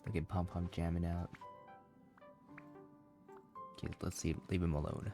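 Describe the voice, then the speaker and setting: A man's voice: deep voice, level tone, measured pace, gravelly, over music. A man giving casual, slangy reaction commentary as he plays a video game, in a laid-back manner.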